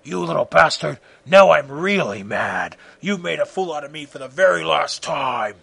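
A man's voice: on the high side, big pitch swings, normal speed, gravelly, a bit bright, husky, no echo, exaggerated voice. A man voicing an undead nightmare killer, menacing, taunting and full of rage, in a dramatic story reading. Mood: enraged. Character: gloating, raging undead male nightmare killer.

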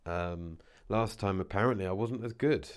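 Deep-voiced man with a cold. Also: moderate pace, clean tone, dry recording, studio quality. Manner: meandering, with many restarts and asides. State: has a cold, losing his voice. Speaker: vlogger. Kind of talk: casual rambling chat to camera.

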